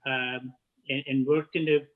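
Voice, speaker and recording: A man in his fifties from Turkey, speaking with a Turkish accent, answering interview questions in halting speech full of "uh" fillers and restarts. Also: mid-range voice, flat delivery, phone-quality sound. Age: 50s. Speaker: guest, head of catering. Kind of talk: casual interview chat.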